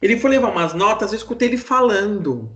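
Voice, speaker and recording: A man's voice: sits high, fast, reverberant, dull audio. A man with a Brazilian accent chatting casually and expressively as a podcast host, telling stories. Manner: expressive, animated. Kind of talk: casual chat, storytelling.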